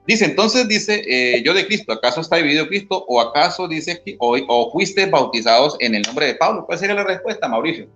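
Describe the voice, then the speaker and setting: A man's voice: on the high side, animated, a little fast, few pauses, sharp tone. Teacherly man reading scripture. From Colombia. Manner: teacherly, reads scripture aloud, asks "¿sí?" often. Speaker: interview guest, former church member. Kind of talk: explaining Bible passages in an interview. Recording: dull lo-fi audio with faint guitar music.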